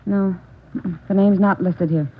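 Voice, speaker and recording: An actress playing a polite, slightly hesitant American doctor's nurse in a mid-century radio drama. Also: female voice, medium pitch, steady tone, a little slow, lightly acted. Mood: puzzled, helpful. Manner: courteous, hesitant. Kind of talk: 1940s-50s radio drama acting. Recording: tinny old radio recording.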